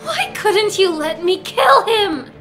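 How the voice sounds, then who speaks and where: A young villainess in an audio drama, acted by a woman, taunting and vengeful. Her voice is high and defiant. Music plays softly behind her. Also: sing-song, slow pace, exaggerated voice. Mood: furious. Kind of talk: fan audio drama acting.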